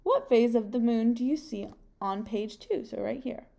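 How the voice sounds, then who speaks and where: A young adult woman reading a picture book aloud like a teacher, pausing and putting questions to her young listeners. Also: on the high side, gravelly, mellow. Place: home kitchen, indoors.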